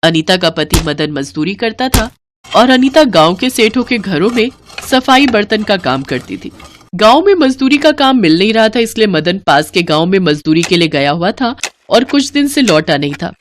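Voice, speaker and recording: A woman's voice: medium pitch, sing-song, moderate pace, slight background noise. A woman storyteller reading scripted narration of a moral tale.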